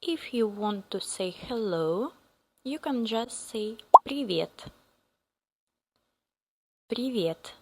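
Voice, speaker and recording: A woman with a Russian accent teaching a beginner language lesson, repeating each phrase slowly for learners to copy. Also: medium pitch, lively intonation, darkish tone, slight background noise.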